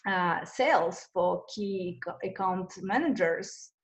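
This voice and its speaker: A woman's voice: fairly low pitch, takes it slowly. Woman. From Russia. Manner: hesitant, many fillers and restarts. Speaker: interview guest. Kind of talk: conversational interview.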